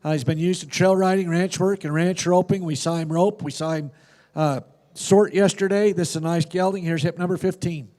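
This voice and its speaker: A man's voice: high-pitched, steady tone, fairly quick, mellow, big-room sound. A man announcing horse sale lots, describing each horse in a plain, informative way with casual fillers. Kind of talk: reading lot descriptions.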